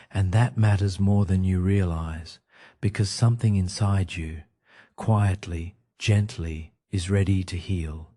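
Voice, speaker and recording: A man with a deep, mellow voice narrates a guided meditation for sleep. His delivery is soft and soothing, with short phrases set apart by gentle pauses. The tone stays relaxed and dark throughout.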